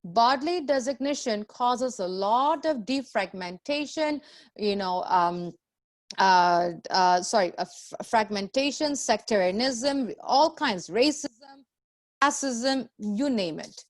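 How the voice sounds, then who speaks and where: Slow-paced, higher-voiced woman teacher. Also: lively intonation. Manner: didactic, repeats phrases, asks rhetorical questions. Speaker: spiritual teacher leading a group class. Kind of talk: scripture lecture with questions to the group.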